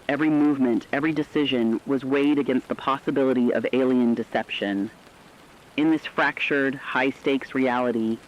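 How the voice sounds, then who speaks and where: A man's voice: mid-range voice, a little hiss or hum. Serious male narrator, muffled lo-fi sound. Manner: serious, deliberate delivery. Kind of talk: dramatic scripted sci-fi narration.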